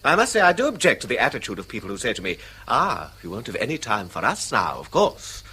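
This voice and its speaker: A man's voice: mid-range voice, huge pitch swings, fast talker, sharp tone, slightly rough, heavily acted. A man playing an anxious, hesitant, apologetic husband eager to please in a radio drama. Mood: defensive. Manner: hesitant, repeats and breaks off sentences. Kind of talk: British stage-style radio drama acting.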